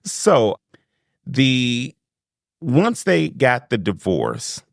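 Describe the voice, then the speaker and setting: A man's voice: mid-range voice, huge pitch swings, drawn-out words, creaky, no echo, studio quality. A man hosting a podcast, chatting casually and animatedly, laughing often and acting out quotes. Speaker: podcast host. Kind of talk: casual chat.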